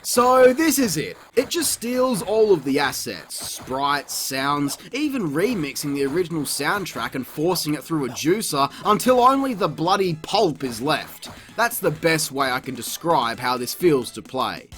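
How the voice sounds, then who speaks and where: Animated high-voiced man reviewing games. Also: measured pace, hardly any pauses. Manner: exclamatory, sarcastic, crude swearing. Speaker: video game reviewer, host. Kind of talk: comedic review commentary, part scripted.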